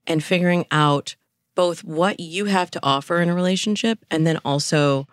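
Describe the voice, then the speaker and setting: A woman giving articulate, measured explanations as a dating coach in a podcast interview. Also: on the low side, no room sound, clean studio sound.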